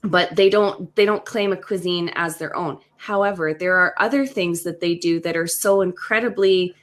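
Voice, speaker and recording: A woman's voice: on the low side, normal speed. A woman in her thirties from Western Canada, chatting enthusiastically with many fillers on a video call. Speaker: co-host. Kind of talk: casual video-call chat.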